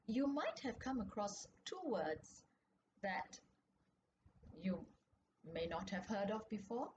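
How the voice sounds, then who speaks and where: A woman's voice: medium pitch, dull audio. Woman reading for children, noisy recording. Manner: expressive, explanatory, addressing young listeners. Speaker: author reading her children's book. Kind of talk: children's storybook reading and explanation. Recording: clearly noisy background ambience.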